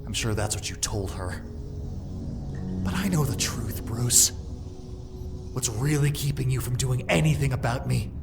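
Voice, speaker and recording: Casting: male actor for a rebellious young antihero, medium pitch, lively swings, sardonic edge, music behind. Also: vocal fry. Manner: accusatory, sardonic. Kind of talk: comic dub voice acting.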